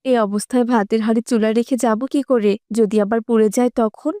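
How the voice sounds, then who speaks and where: A woman's voice: fairly high pitch, fairly even intonation, fast talker, warm tone, no room sound, studio quality, close to an everyday voice. A woman voicing a dutiful, overworked eldest daughter-in-law in a family cartoon, fretting in a plaintive way. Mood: fretful, weary. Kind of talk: Bengali family cartoon voice acting.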